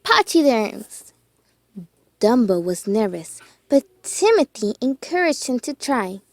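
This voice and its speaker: A woman reading a children's storybook aloud with expressive, deliberate phrasing and dramatic emphasis. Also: on the high side.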